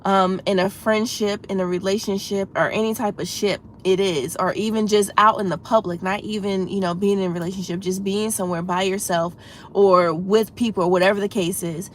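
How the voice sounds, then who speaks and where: Female livestream host, congested and slightly froggy, earnest and encouraging, low rumble in the background. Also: steady tone, brisk. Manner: earnest, encouraging, talks straight to chat with many "you know"s. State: congested with a head cold. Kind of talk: casual livestream chat.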